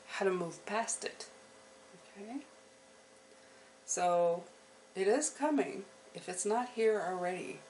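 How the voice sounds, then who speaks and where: A woman giving an unscripted, reassuring tarot reading to her viewers, often pausing to check in with the listener. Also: on the low side, a little hiss or hum, rough recording. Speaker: tarot reader hosting a channel.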